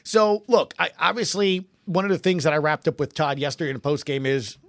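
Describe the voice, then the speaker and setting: A man's voice: on the high side, steady tone, a little fast, no room sound, studio quality. A man hosting a sports podcast, giving casual commentary in emphatic, punchy conversational phrases.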